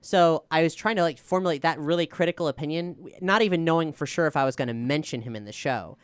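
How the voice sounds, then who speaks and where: Clear-voiced woman, candid and reflective. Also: low voice, fast talker, no room sound, clean studio sound. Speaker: show creator and writer answering questions. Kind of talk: casual reflective Q&A chat.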